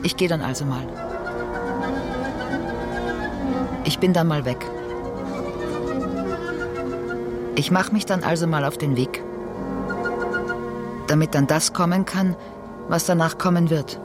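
An actor playing a brooding, fractured figure from Greek tragedy in a radio drama monologue, with halting, repetitive, self-questioning delivery. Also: male voice, a little high, close to an everyday voice. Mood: wry, resigned. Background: music.

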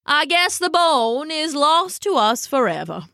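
A woman voicing a pompous, theatrical male raccoon from a storybook, with florid, drawn-out exclamations. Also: high-pitched, big pitch swings, sharp tone, no room sound, studio quality, full character voice. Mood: regretful. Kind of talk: storybook character voice.

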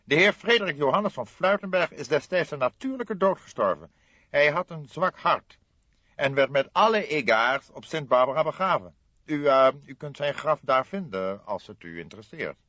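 A man's voice: on the high side, animated, moderate pace, bright, forceful tone, no room sound, lo-fi sound, voice pushed up. A man voicing a stout, indignant notary in an audiobook, flustered and stammering with pompous formal wording. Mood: hesitant, formal. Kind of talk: audiobook character voice.

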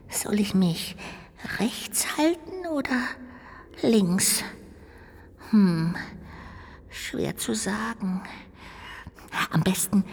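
A woman playing a curious, cheerful little ghost in a radio play, musing aloud to itself with drawn-out hesitations and little exclamations. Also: mid-range voice, a little mellow, exaggerated voice. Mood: pondering, playful. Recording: long hollow reverb, clear background noise.

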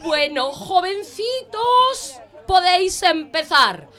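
Woman playing a gushing, high-voiced ball hostess. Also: big pitch swings, slow, bright, forceful tone, heavily acted. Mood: breezy, festive announcing. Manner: effusive, sighing, giggly. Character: gushing, theatrical lady hostess of a grand ball. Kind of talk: audio drama announcement speech.

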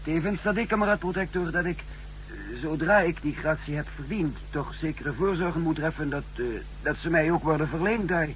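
A man playing a nervous, hesitant double agent in a 1960s radio drama, speaking formally with stammering pauses. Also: fairly high pitch, a little hiss or hum, lightly acted, rough recording. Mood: deferential, bold. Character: nervous, hesitant male double agent posing as a spy chief. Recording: humming old 1960s radio recording.

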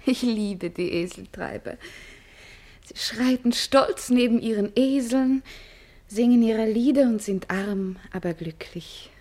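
Vain dancer, affected grand lady, raised pitch, drawn-out pace, commanding, radio play. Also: darkish tone. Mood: gushing. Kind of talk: German radio play acting.

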